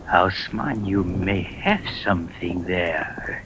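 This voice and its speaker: A man playing an imperious, cold-blooded German general in an old-time radio drama, commanding and deliberate. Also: sits low. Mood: pleased, scheming. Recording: noisy old radio recording.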